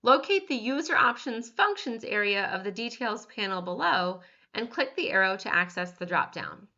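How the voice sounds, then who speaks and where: A woman reading scripted step-by-step instructions as a tutorial narrator, measured and clearly enunciated. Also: fairly high pitch, pushed and bright, lo-fi sound.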